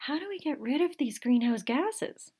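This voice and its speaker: A woman's voice: high-pitched, animated, normal speed, warm tone, voice pushed up. A woman voicing an eager, curious young girl scientist in a picture book, earnest and inquisitive.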